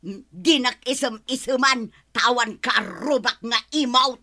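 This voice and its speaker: A touchy village woman with an Ilocano accent. Her fairly high, sharp voice mutters and huffs in comic radio drama fashion. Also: a little slow. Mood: huffy. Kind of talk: broadcast comedy radio drama acting.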